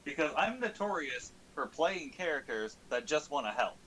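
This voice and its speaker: A man's voice: on the high side, normal speed, poor audio. Casual man chatting over background noise. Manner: informal, swears casually. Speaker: tabletop game player. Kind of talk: casual chat recapping a game. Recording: steady audible background noise.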